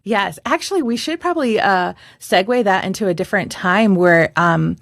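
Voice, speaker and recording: A middle-aged woman co-hosting a podcast as a voice coach, telling stories in a lively way with frequent laughter and filler words. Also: medium pitch, moderate pace, creaky. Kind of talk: casual podcast chat.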